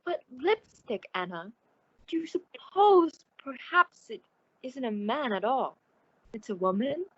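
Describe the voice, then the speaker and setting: Radio suspense role, for an actress: uneasy society lady, theatrical and polite. Lively high female voice, slow delivery, muffled dry sound. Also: very noisy, heavily acted. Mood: alarmed. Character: genteel, sociable woman, uneasy concerned friend of the spinster. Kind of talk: old-time radio suspense acting.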